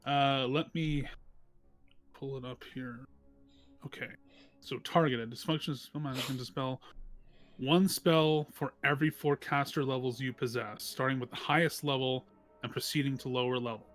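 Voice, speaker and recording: A man's voice: mid-range voice, steady tone, moderate pace, a bit bright. A man talking casually as a player at a tabletop game, with faint music behind him.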